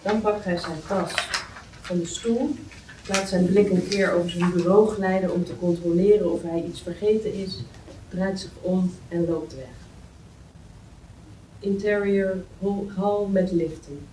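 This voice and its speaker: A woman's voice: fairly low pitch, fairly even intonation, a little slow. A woman reading screenplay stage directions aloud as scripted narration at a table read in a meeting room. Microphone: far camera. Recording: roomy, distant mic, faint noise.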